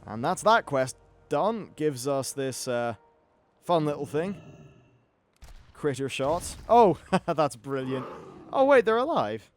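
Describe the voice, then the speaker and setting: A man's voice: fairly high pitch, sing-song, slow, pauses often, faint music behind. A man giving casual, off-the-cuff video game commentary as host, chatty and wry, with some swearing.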